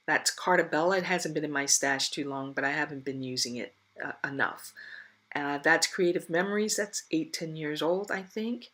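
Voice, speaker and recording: Low-voiced woman with a stuffy nose. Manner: chatty and rambling, with fillers and soft laughs. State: stuffy nose, losing her voice. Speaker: scrapbooking vlogger. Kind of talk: casual crafting chat.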